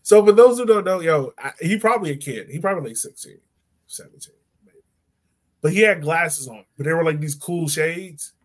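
A man's voice: a little high, expressive, moderate pace. A young man co-hosting a soccer podcast in casual, slangy fan chat over a video call. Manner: slangy, informal. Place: indoor room at home, on a video call.

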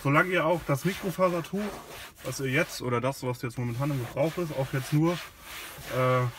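A man's voice: mid-range voice, normal speed, background noise. A man giving a casual product demonstration as a car care host, informal and a little hesitant while he works. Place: inside and beside a car in a garage.

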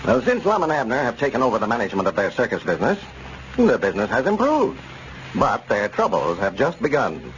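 A man's voice: medium pitch, huge pitch swings, brisk. A man with an American accent announcing and narrating in the scripted style of vintage radio. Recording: muffled, noisy old radio broadcast.

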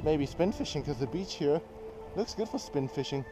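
A man from New Zealand giving casual, excitable running commentary while fishing outdoors on a rocky jetty, recorded on a head-mounted action camera. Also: fairly high pitch, normal speed, over music. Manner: chatty, excitable, thinks aloud. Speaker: fishing vlogger.

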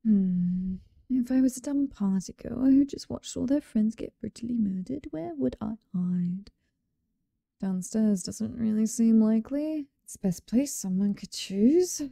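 A woman's voice: on the high side, expressive, unhurried, vocal fry, dark tone, no room sound, studio quality. A British woman playing a cheerful, chatty slasher killer in an ASMR roleplay, teasing and casual with sweet menace. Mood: playfully menacing. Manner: playful, casual, teasing, amused.

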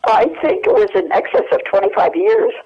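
A woman's voice: high voice, wildly swooping pitch, a little fast, gravelly. A woman in her eighties, speaking as an interview guest by telephone, hesitant and searching her memory with many fillers. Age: 80s. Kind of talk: phone interview. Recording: muffled telephone line.

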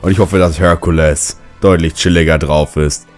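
A young man with a slightly hoarse, cold-worn voice, commentating a fighting game and ranting with lots of swearing. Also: a bass voice, steady tone, normal speed. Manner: swears a lot, rants and shouts at the game. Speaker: let's player. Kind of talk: gaming commentary. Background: game music.

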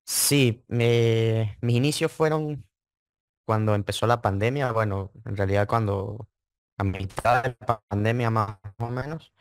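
A man's voice: lowish voice, smooth, no echo, studio quality. A man, answering interview questions casually and hesitantly, repeating words. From Venezuela (Mérida). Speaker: interview guest, trading student.

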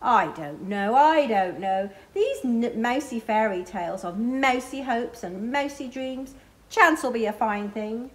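A woman's voice: a little high, expressive, a little slow. A woman voicing a scornful mouse witch from a children's storybook in a theatrical, doubting way.

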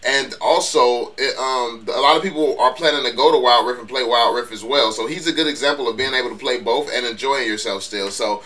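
A man's voice: mid-range voice, brisk, hardly any pauses, bright, forceful tone, recorded from a distance. A young man with a congested voice giving laid-back, slangy reaction commentary on a mobile game as a YouTuber, speaking into a headset. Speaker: gaming YouTuber reacting to gameplay. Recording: game audio and music behind, a bit off-mic.

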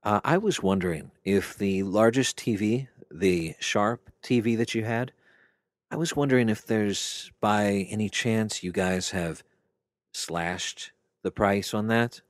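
A man making a prank phone call with deliberate, creepy insinuation. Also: on the low side, no echo, studio quality. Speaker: prank caller. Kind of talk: prank phone call in character as a film slasher.

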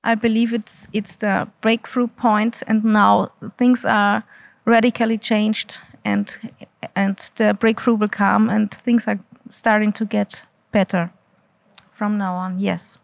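A woman's voice: mid-range voice, little pitch movement, takes it slowly, gravelly, no echo, dull audio. A woman, giving an earnest, unscripted testimony into a handheld mic, with many restarts and fillers. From Austria. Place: indoor church hall. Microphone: handheld mic held by interviewer.

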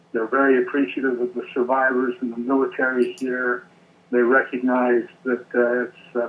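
An American man in his forties giving an earnest, measured interview over the telephone as an event organizer. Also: mid-range voice. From United States. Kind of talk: phone interview. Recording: noisy, narrow phone line.

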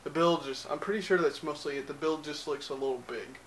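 A man's voice: on the high side, a little fast, fairly bright tone, slight background noise, low-quality recording. Rambling young man vlogging at arm's length. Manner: rambling, filler-heavy, loose and chatty. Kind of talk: casual vlog chat. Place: small cluttered spare bedroom indoors. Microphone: handheld camera at arm's length, moving around.

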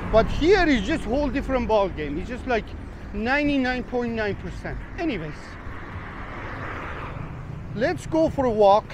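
A man talking casually to viewers while walking a rainy street, rambling and chatty, calling them guys. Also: very high voice. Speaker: travel vlogger. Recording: street traffic noise behind.

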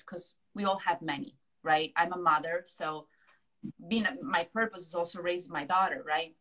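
A woman with an Italian accent speaks in a somewhat low voice, chatty and expressive as she answers on a video call. She is in her thirties, talking over a webcam mic from a small room at home. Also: rough recording. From Italy. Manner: expressive, chatty, frequent "you know" and "right". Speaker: guest, hypnotherapist and success coach. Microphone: video call, laptop or webcam mic.

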